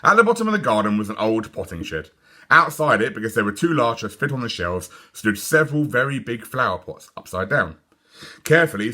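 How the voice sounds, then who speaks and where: British man, lower-pitched, bright voice, expressive children's story narration, deliberate delivery. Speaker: story reader.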